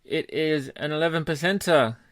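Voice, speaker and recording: Guy on a beer podcast, jokey and upbeat, a bit higher voice, dry sound. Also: moderate pace, a bit bright, dry recording. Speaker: podcast co-host. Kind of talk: casual chat.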